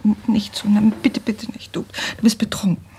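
A woman's voice: medium pitch, level tone, moderate pace, mellow, in a whisper, natural voice. An actress playing a wounded, disdainful well-off older wife and mother in a radio drama, speaking in a brittle way. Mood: distraught. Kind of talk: naturalistic radio drama acting.

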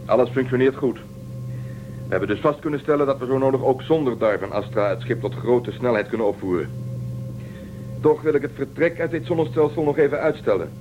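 A man's voice: medium pitch, expressive, fairly quick, lightly acted. Man voicing a stubborn starship commander, firm and commanding, dull muffled sound, music behind.

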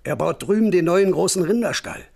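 Folksy male village informant, radio crime play; extremely gravelly, raspy voice, gossipy and well-informed. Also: high-pitched, lively intonation, brisk, darkish tone, exaggerated voice. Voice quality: gravelly, husky. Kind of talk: German radio crime drama acting.

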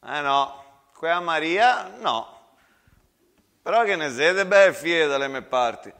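A man's voice: a little high, expressive, takes it slowly, bright, forceful tone, pitched above the performer's own voice, full character voice. A man playing a blunt, boisterous peasant soldier with a Venetan accent in a theatre monologue. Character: loud, gruff, teasing peasant soldier from the Veneto. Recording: reverberant hall with music.

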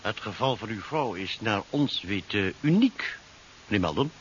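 An actor playing a polite, puzzled hospital doctor in a seventies radio drama, speaking formally. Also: male voice, lowish voice, animated, lightly acted. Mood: courteous. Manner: formal, measured, courteous. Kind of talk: 1970s Dutch radio drama acting. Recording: muffled, faintly hissy old radio recording.

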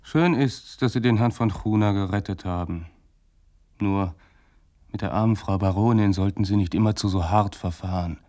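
Man acting a dark-voiced, gently reproving listener. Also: on the low side, moderate pace, close to an everyday voice. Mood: mildly reproving. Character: calm male listener gently admonishing the maid. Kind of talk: German radio play dialogue.

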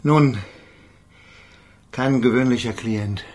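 An actor playing an older, stern and bitter idealist lawyer in a radio crime drama, speaking solemnly and deliberately. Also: male voice, mid-range voice, a little mellow, close to an everyday voice. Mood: subdued, resolved.

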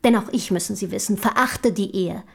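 A woman's voice: mid-range voice, a little fast, gravelly, husky. An actress playing an eager, self-assured young noblewoman writer of the early 1800s in a radio play, with formal, inquisitive diction. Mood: proud, effusive. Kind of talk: German radio play acting.

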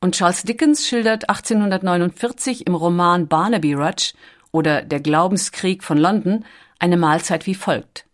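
A woman's voice: lowish voice, moderate pace. A woman reads scripted documentary narration as the narrator.

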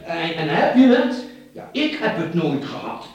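A greedy, shifty doctor in a radio play. His high voice moves slowly and hesitantly, with pleading repetitions. It sounds distant and very echoey. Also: big pitch swings, highs cut off. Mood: coaxing, evasive.

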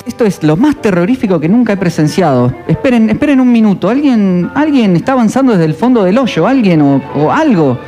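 Male actor as a terrified on-site reporter, stammering and breathless, mellow tone, soft music underneath. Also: sits high, sing-song. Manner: halting, stammering with fear. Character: frightened male field reporter at a crash site. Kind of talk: 1930s-style radio drama acting.

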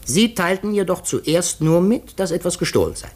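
Radio mystery role: shrewd, courteous detective, self-assured and theatrical, with strongly swooping, deliberate phrasing. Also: male voice, sits high, lively intonation. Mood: shrewd, insinuating. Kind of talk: 1950s German radio drama.

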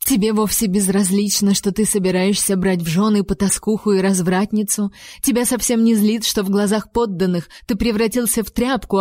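A woman's voice: medium pitch, mellow, dry recording, studio quality, natural voice. A woman doing a fiery, defiant young heroine. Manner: heated, emphatic accusations. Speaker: heroine voiced by the narrator.

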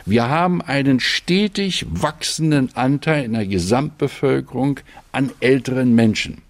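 A man's voice: medium pitch, a little slow, dry recording. A man arguing his opinion in an emphatic, declarative way. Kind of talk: opinionated argument.

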